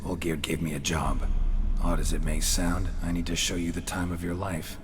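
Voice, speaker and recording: An actor playing a gruff, terse mercenary with a dry, laconic manner in a video game. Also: male voice, deep voice, normal speed, noisy background, natural voice. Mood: dry, persuasive. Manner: laconic, deadpan, clipped sentences. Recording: loud music behind.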